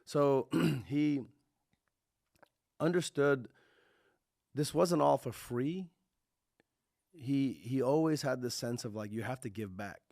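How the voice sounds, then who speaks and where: A man from Kazakhstan who grew up partly in Texas and is not a native English speaker, talking casually as an interview guest in a halting, self-correcting way. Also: mid-range voice, gravelly, a little mellow, no echo, clean studio sound. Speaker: podcast guest, tech entrepreneur.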